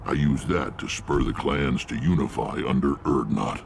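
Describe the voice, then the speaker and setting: An actor playing a huge, battle-hardened alien warlord in a video game, gruff and commanding, with a gravelly voice. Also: male voice, a bass voice, normal speed, slight background noise. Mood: proud, commanding.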